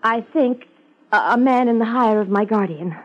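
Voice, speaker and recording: An actress playing a frightened, evasive young heiress in a 1950s radio drama, hesitant and pleading. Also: female voice, a little high, moderate pace, lightly acted. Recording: dull 1950s radio recording.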